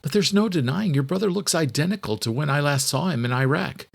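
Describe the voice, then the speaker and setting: A man's voice: fairly high pitch, animated, fairly quick, gravelly, voice pushed up. A man voicing a protective, skeptical husband and intelligence operative in an audiobook, in a familiar, questioning way. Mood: amazed. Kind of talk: audiobook character dialogue.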